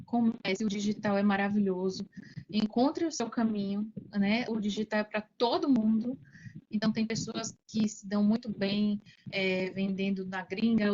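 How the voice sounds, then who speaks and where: A woman's voice: medium pitch, a little mellow. A Brazilian woman giving casual motivational advice as an interview guest, emphatic and repeating key phrases over a muffled call. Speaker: interview guest, digital entrepreneur. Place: remote video call.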